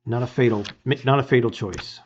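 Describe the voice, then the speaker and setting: A man reading a gamebook aloud in expressive, emphatic storytelling, with casual asides. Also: mid-range voice, normal speed, muffled recording, a little hiss or hum.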